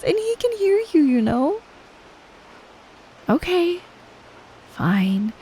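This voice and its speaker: Woman acting a slow, shy young best friend. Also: high-pitched, slightly rough, exaggerated voice, low-quality recording. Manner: hesitant, apologetic, soft-spoken, halting. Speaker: audio roleplay performer. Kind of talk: scripted ASMR roleplay, speaking to the listener as a friend.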